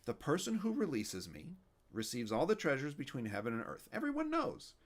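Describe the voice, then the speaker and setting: Brief: grumbling old wisecracking genie, loud and raised-up voice, bouncy questions, put on by a male reader. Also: mid-range voice, wildly swooping pitch, a little fast, pitched above the performer's own voice. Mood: impatient. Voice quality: kvetching, wisecracking, sing-song questions. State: out of breath, tired. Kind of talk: storybook read-aloud.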